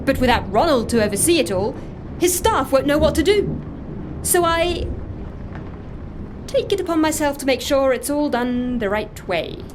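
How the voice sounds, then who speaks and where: A woman's voice: high voice, lively intonation, normal speed, background noise. An actress playing an anxious, self-justifying woman in an audio drama, telling her story in a fussy, emphatic, defensive way. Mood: impassioned, indignant. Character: anxious, self-justifying woman confessing impersonation, earnest dog lover. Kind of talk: audio drama dialogue, first-person confession.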